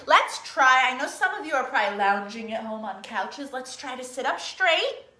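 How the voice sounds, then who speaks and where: A woman's voice: high-pitched, expressive, moderate pace, bright, forceful tone. A woman leading a children's storytime in an encouraging, coaxing manner, putting simple questions and instructions to young listeners. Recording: roomy, echoey room sound.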